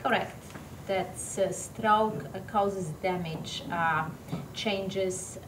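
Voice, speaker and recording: A woman's voice: mid-range voice, fairly even intonation, drawn-out words. A woman stroke doctor answering interview questions hesitantly, with careful phrasing and many fillers. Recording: distant mic in echoey room with noise.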